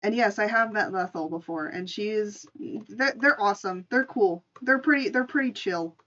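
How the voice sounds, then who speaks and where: A woman's voice: medium pitch, normal speed, sharp tone, highs cut off. A woman streamer casually telling an improvised story and chatting with her live audience in an informal, chatty way. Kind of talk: casual livestream storytelling and chat.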